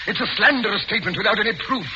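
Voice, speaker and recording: An actor playing an indignant, stammering rich husband accused of murder, blustering in 1940s radio melodrama style. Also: male voice, high-pitched, very fast, lightly acted. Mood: desperate denial. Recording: noisy, muffled 1940s radio recording.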